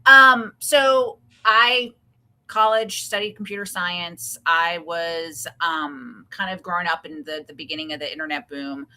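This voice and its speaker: A woman in her forties answering interview questions as a career strategist, relaxed and conversational. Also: fairly low pitch, a little slow.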